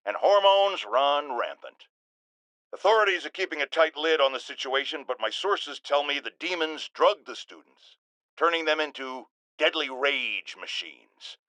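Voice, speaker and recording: Blustering, sarcastic male radio host for a game: middle-aged, outraged, high and animated, theatrical monologue delivery, dry studio sound. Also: no room sound, heavily acted, low-quality recording. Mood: grave, warning. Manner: pompous, sarcastic, emphatic, theatrical.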